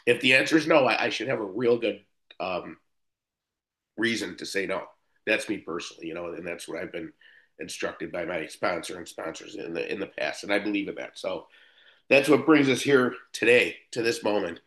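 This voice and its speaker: A fifty-something man from Buffalo, New York, with a fairly low voice, speaks to a recovery meeting. He wanders through his story casually, doubling back on his words and filling pauses with "uh". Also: fairly bright tone. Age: 50s. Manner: rambling, frequent restarts and fillers. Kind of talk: personal recovery talk.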